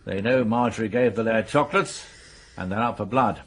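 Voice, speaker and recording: An actor playing a sober police major in a radio mystery, speaking in a measured, serious way with a slightly husky voice. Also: male voice, lowish voice, a bit bright. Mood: matter-of-fact. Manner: measured, serious, matter-of-fact. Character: sober, businesslike male police major briefing colleagues. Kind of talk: radio mystery drama dialogue.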